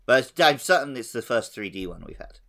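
A man's voice: mid-range voice, lively intonation, brisk, fairly bright tone. A man hosting a podcast in casual conversation, with a playful, wry manner. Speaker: podcast host. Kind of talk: casual chat.

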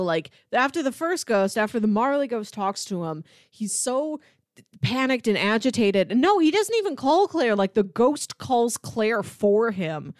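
A woman co-hosting a podcast, casually discussing a film in an animated storytelling way. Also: fairly high pitch, no room sound, studio quality. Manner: animated storytelling, acts out characters' lines. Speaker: podcast co-host.

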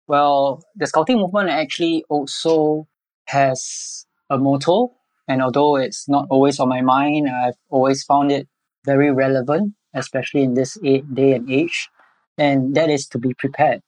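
A man from Singapore with a Singaporean accent, answering interview questions in a measured way with hesitant pauses. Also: mid-range voice, steady tone, a little mellow, dry recording, low-quality recording. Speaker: podcast guest, environmental educator.

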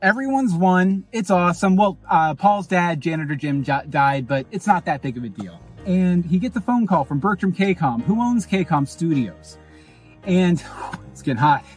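A man's voice: high voice, lively intonation, moderate pace, faint music behind. A man hosting a podcast, giving a jokey, self-mocking recap of his audio comedy's story. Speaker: podcast host and show creator. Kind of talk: fast comic recap of a story.

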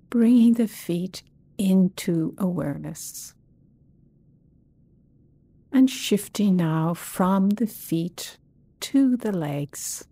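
A woman leading a guided body scan meditation as a teacher, speaking gently and soothingly with long pauses. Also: on the low side, no room sound.

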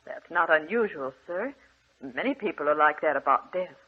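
An actress playing a polite, dutiful young nurse in a 1940s radio drama, earnest and deferential with a husky voice. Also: female voice, deep voice, animated, moderate pace. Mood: sympathetic, concerned. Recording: tinny, muffled old radio recording.

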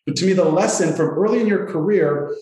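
A man's voice: sits high, brisk, a little mellow. Echoey Canadian man, interview guest. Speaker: sales executive, podcast guest. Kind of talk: conversational interview answers. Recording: roomy, echoey sound.

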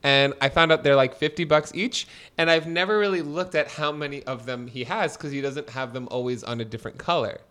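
A man's voice: fairly high pitch, a little fast, hardly any pauses, fairly bright tone, clear, resonant tone. A man co-hosting a casual podcast, teasing his friend and laughing often. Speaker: podcast co-host. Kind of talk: casual chat.

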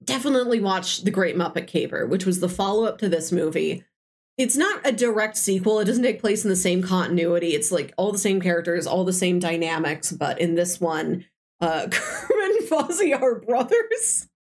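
A woman's voice: lowish voice, expressive, moderate pace, a little mellow. A woman hosting a podcast, chatting casually and effusively recommending films she loves. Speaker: podcast host. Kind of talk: casual film discussion chat.

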